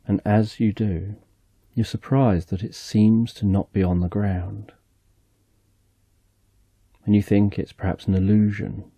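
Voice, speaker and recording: A man narrating a slow, soothing guided relaxation story in the second person, speaking softly and gently. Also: lowish voice, level tone, breathy edge, no room sound.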